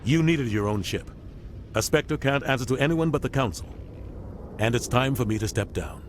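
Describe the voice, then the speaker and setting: A man playing an honorable, middle-aged military captain in a video game, earnest and measured. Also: mid-range voice, animated, slight background noise, lightly acted. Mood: regretful, firm. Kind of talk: dramatic video game dialogue.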